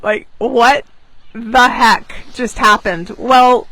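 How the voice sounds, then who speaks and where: A woman who grew up in England with American parents tells a personal story as a manifestation coach, expressively, with dramatic pauses for emphasis. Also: fairly high pitch, fairly bright tone, dry recording, poor audio. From born in Japan, grew up in England, American parents. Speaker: manifestation coach and class teacher. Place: outdoors on a beach.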